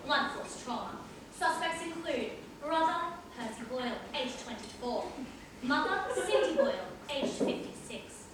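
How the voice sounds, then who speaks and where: Woman as dutiful stage assistant, animated high delivery, distant noisy recording. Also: a little slow. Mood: businesslike. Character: dutiful female assistant briefing her boss. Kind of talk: amateur college stage play. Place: college stage, recorded from the audience. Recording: echoey hall, far mic, background noise.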